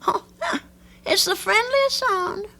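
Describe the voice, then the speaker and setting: A woman's voice: very high-pitched, takes it slowly, gravelly, sharp tone, heavily acted. An older woman acting in an old-time radio drama as a kindly, fretful elderly landlady. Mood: chatty, genteel.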